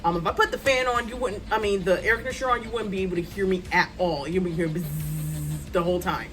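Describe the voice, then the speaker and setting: Woman in her thirties, loud voice, rambling casual vlog, phone front camera, faint background music. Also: fairly low pitch, quick pace. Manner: chatty and rambling, many ums and asides. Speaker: writer vlogger. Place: hot room at home with a fan.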